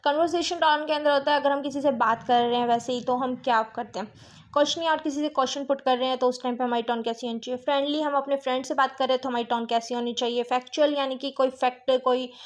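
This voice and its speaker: A woman's voice: high-pitched, steady tone, fast. A woman with an Indian accent teaching a lesson, reading out points and explaining them with frequent little check-in phrases. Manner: mixes Hindi with English terms, often checks understanding with tag phrases.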